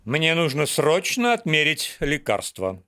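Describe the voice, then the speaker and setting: Anxious father, high male voice, lively intonation, urgent, fairy-tale acting. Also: unhurried, clean tone, exaggerated voice. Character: anxious adult father needing medicine, urgent.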